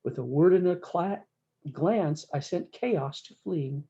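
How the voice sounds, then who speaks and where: A woman's voice: fairly low pitch, measured pace, dark tone, muffled recording. A woman voicing a mighty, stern cowboy boss God in a storybook, putting commanding questions in folksy rhyme. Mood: stern, challenging.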